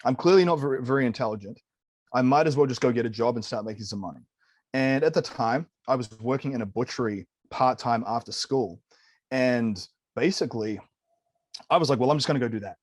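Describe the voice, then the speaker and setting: Kiwi guy in his thirties, chatty and enthusiastic, mid pitch, dry recording. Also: brisk, no echo. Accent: New Zealand. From New Zealand. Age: 30s. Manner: candid, enthusiastic, peppers speech with "man" and "dude". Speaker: guest nutritionist. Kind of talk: casual interview storytelling.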